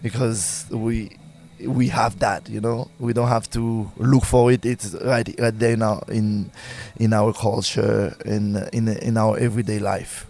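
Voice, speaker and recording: Haitian-accented man, halting and conversational. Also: lowish voice, little pitch movement, gravelly, a little mellow. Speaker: musician, singer and bandleader being interviewed. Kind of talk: casual interview conversation.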